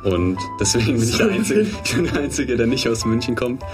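A man's voice: medium pitch, sing-song, brisk, over music. A 22-year-old man from Würzburg, a band drummer, chatting casually in a radio interview.

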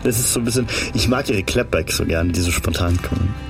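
Man, low voice, playful morning radio host, faint music bed. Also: quick pace, creaky. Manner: playful, teasing, mixes in English words. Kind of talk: casual joking radio chat.

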